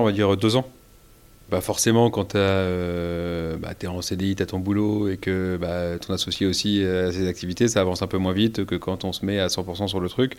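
A man's voice: on the low side, a little mellow, clear, resonant tone. A man from Paris, a brewery co-founder, answering interview questions casually with many fillers and restarts.

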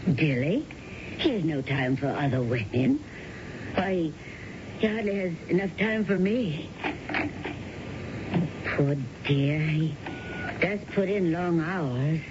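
An actress playing a genteel, neglected, anxious wife in a radio drama, with theatrical, halting phrasing. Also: female voice, low-pitched, animated. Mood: politely gracious. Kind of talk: old-time radio drama acting. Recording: muffled, noisy 1970s radio recording.

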